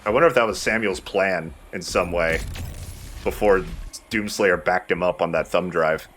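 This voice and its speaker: Male gameplay host, lower register, conversational and explanatory, casual delivery, faint noise in the recording. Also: moderate pace. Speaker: let's play host, playing the game. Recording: noisy mic with steady background noise.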